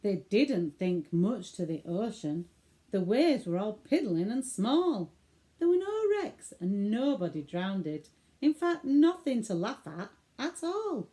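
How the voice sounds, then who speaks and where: Northern English woman, bedtime storyteller, unhurried, very animated pitch, mellow tone, theatrical comic verse. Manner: theatrical, deliberate delivery. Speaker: storyteller for children.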